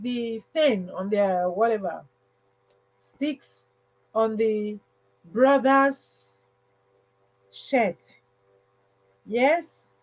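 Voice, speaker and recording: Slow-paced, higher-pitched woman livestreamer. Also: poor audio. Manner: emphatic, repeats phrases for stress. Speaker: livestream host. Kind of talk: casual livestream advice talk.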